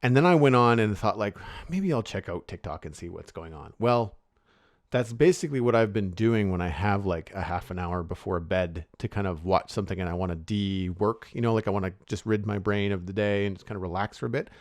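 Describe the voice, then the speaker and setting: A man co-hosts a podcast in a relaxed chat. His voice sits fairly low, and he rambles and restarts his sentences often. He is recorded dry and close to the mic. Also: brisk, dry recording. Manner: rambling, many restarts and fillers. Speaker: podcast co-host.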